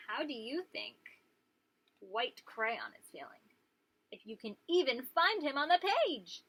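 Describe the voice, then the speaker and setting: A woman's voice: high-pitched, big pitch swings, a little slow, fairly bright tone, breathy edge. A woman hosting story time as a preschool teacher, reading aloud and putting questions to young listeners.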